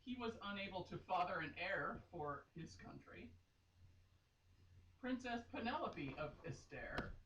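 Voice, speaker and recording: A woman's voice: on the low side, lively intonation, unhurried, fairly bright tone. A woman giving a mock-dramatic read-aloud with casual, giggly, exclaiming asides. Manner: giggly, exclaiming, playfully theatrical. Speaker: reader performing a dramatic reading of a romance novel. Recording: echoey room, distant mic, faint noise.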